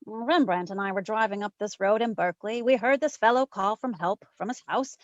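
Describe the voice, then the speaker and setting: An actress doing a quick-witted female private eye from a 1940s-style radio drama, all flip teasing banter. Her voice is mid-pitched, close and dry, and the recording sounds a little dull and lo-fi. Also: dry recording, close to an everyday voice. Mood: breezy. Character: wisecracking female private eye, breezy and flirtatious. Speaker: radio drama lead and narrator.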